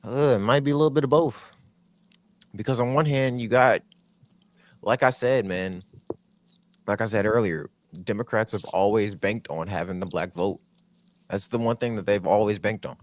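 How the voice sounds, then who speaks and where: Low-voiced slangy man. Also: normal speed, long pauses. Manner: slangy, blunt, loose. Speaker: podcast co-host. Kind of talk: casual political chat.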